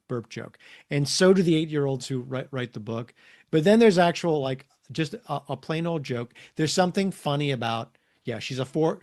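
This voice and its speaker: A middle-aged man telling stories casually as an author guest in an interview, animated and prone to acting out dialogue. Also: on the high side. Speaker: guest, comics and children's book author.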